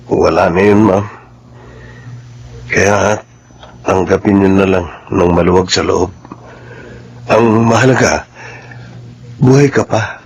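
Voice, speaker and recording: A man's voice: low voice, slightly rough, heavily acted. A man playing a respectful, loyal household employee, polite and earnest, in a Filipino radio drama. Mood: gentle, consoling.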